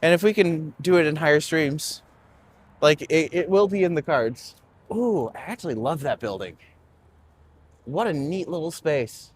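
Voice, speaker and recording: A man's voice: on the high side, expressive, measured pace, a little hiss or hum. A young man hosting a live-streamed walking tour outdoors on a city street, rambling and chatty with lots of fillers, recorded on a phone.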